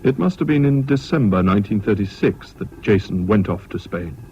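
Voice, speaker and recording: A man's voice: fairly low pitch, animated, normal speed, mellow. An actor playing an earnest young English student who recalls his past in a British radio drama. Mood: measured, reflective. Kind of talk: 1970s British radio drama acting and narration. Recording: dull, hissy old radio recording.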